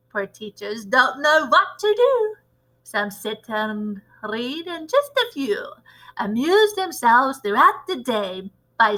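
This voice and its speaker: A woman's voice: fairly high pitch, poor audio. A woman acting out a disgusting old witch leader from a children's storybook, gleefully cruel, with theatrical, chanting delivery and broken grammar. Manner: ungrammatical, chanting, theatrical shouting.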